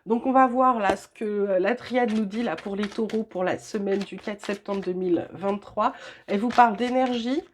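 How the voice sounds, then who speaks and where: A woman's voice: mid-range voice, normal speed, a little hiss or hum. An older woman with a cold, reading weekly tarot guidance from home in a chatty, reassuring way with frequent hesitations. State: has a cold. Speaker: tarot reader.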